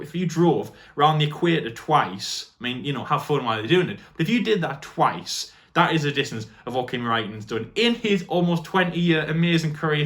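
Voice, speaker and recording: A man's voice: medium pitch, a bit bright. A man hosting a motorsport show, giving enthusiastic commentary to viewers in a rambling, repetitive and emphatic way. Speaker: host of a motorsport debate show.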